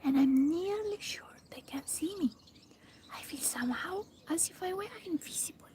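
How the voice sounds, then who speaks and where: A woman's voice: extremely high pitch, lively intonation, normal speed, mellow, a little hiss or hum. A woman whispering the lines of a curious, polite young girl in an ASMR storybook reading. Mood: hushed wonder.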